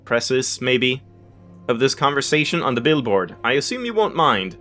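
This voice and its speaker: A man's voice: mid-range voice, very animated, moderate pace, pushed and bright. Man reading game text over music. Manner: stumbles over unfamiliar words, self-correcting. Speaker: let's play host. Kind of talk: reading game text aloud with casual asides. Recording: music behind the voice.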